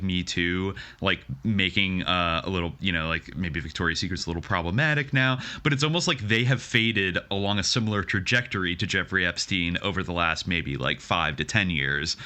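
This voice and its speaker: A man's voice: low voice, a little fast, few pauses, pushed and bright. An American man hosting a podcast, talking casually and analytically in hedged, exploratory sentences. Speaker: podcast host.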